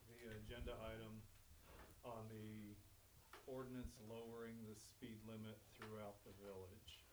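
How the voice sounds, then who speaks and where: A man's voice: lowish voice, flat delivery, a little slow, background noise. A man giving a blunt, argumentative public comment at a village meeting, with some restarts. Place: village council meeting room. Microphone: speaking without a mic, picked up by a room mic.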